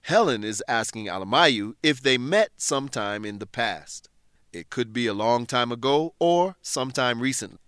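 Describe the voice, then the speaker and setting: A man presenting an English lesson in scripted narration, explaining things in a measured way with careful enunciation. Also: medium pitch, a bit bright, clean tone, no room sound, clean studio sound.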